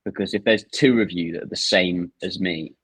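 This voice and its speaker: A man's voice: deep voice, moderate pace, a bit bright, breathy edge, no room sound. A man in his twenties co-hosting a podcast, teasing his co-host and reading out listener questions. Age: 20s. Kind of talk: casual chat.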